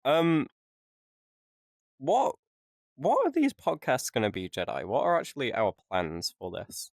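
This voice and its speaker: A man's voice: medium pitch, huge pitch swings, unhurried, no room sound, studio quality. A man co-hosting a casual podcast, joking and rambling with frequent laughs. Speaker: podcast co-host. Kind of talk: casual chat.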